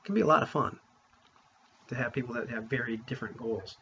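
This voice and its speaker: Husky man chatting casually, slightly dull sound. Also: medium pitch, fast talker, a bit bright. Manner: informal, rambling, opinionated. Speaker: vlogger on tabletop roleplaying games. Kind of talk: casual chat to viewers. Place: room at home.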